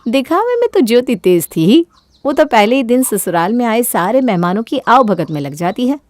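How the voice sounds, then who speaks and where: A woman's voice: on the high side, big pitch swings, moderate pace, a little mellow, no echo. A woman narrating a scripted moral story as a storyteller.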